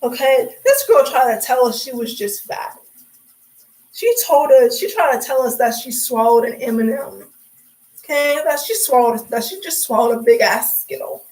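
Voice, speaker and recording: A young woman chatting on a livestream, sassy and talkative, calling people sweetie and honey. Her slightly high voice is worn hoarse and raspy from hours of talking without water. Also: poor audio. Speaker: livestream host. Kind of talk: casual livestream chat.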